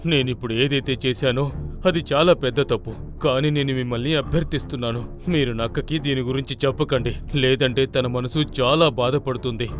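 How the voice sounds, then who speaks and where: A man's voice: medium pitch, brisk, faint music behind, lightly acted. A man voicing a conflicted, remorseful lame lion in a children's cartoon fable, earnest and dramatic. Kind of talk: animated children's story acting.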